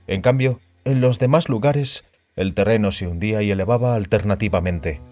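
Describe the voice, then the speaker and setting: A man's voice: on the low side, normal speed, dark tone, no room sound, soft background music. A man reading a science fiction story aloud as a scripted audiobook narration.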